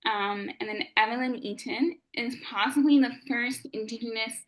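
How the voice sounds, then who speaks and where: A young woman with a fairly high voice, giving an earnest prepared lecture at a historical society meeting. She pauses often with "um" and corrects herself mid-sentence. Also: poor audio.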